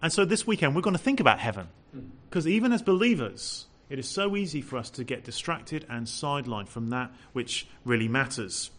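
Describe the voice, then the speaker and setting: Man, preacher, expressive and loud, rises and falls a lot. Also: mid-range voice, fairly quick, few pauses. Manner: lively, animated preaching with rhetorical questions; says he has a loud voice. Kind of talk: sermon.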